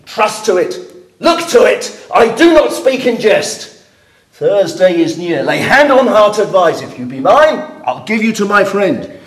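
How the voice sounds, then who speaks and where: In a roomy, echoing space, a man plays an older noble father who domineers and scorns. The voice is pitched high and swings widely, delivered slowly and grandly as classical verse drama. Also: very animated. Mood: cold, threatening. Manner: scornful, explosive, declamatory Shakespearean delivery. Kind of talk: classical stage verse acting.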